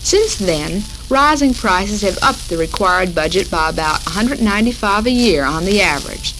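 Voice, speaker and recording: An American woman hosting a 1940s radio program for housewives, reading a script in a measured way. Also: medium pitch, animated. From United States. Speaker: radio show host. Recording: noisy, crackling 1940s radio recording.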